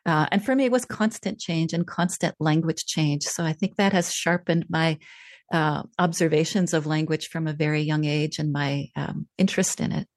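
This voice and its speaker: A woman author who grew up in Czechoslovakia, reading from her book and then answering interview questions in a thoughtful, measured way. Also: low voice, dry recording, clean studio sound. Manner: thoughtful, measured, with frequent fillers. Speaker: author and language scientist.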